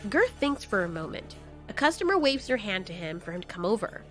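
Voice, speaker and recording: A woman's voice: medium pitch, big pitch swings, a little fast. Woman narrating a scripted story over music.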